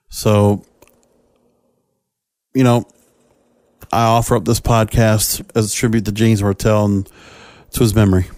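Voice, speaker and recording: Man, podcast host, solo commentary, medium pitch, halting short phrases, dry recording. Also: a little mellow, clean tone, no echo.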